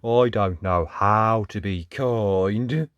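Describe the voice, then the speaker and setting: Slow, blunt little tin can voiced by a reader. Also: medium pitch, no room sound, dull audio, voice pushed down. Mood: glum. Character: small, glum, heartless tin can creature.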